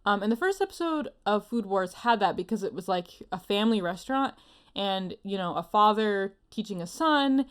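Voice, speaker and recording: A woman's voice: mid-range voice, lively intonation, normal speed, clear, resonant tone. A woman co-hosting a podcast in casual chat, with a giggly, chatty manner. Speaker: podcast co-host.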